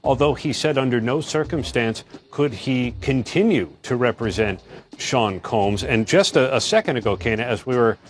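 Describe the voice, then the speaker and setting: Male reporter speaking live off the cuff, medium pitch, slight noise behind. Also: moderate pace. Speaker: news reporter. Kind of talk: unscripted live news report.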